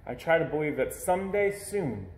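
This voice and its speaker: Man, storybook narration, slightly high pitch, very animated intonation, roomy echo. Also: moderate pace, slight background noise. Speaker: storybook reader. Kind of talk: expressive children's read-aloud narration in a child's first person. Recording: echoey room.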